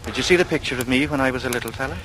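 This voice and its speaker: An actor in a vintage radio drama playing a vain, sly young charmer with an ingratiating, needling manner. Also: male voice, mid-range voice, rapid-fire, a bit bright, lightly acted. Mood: casual, pointed. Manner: ingratiating, needling, insinuating, colloquial. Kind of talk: 1940s radio acting. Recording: noisy vintage radio recording.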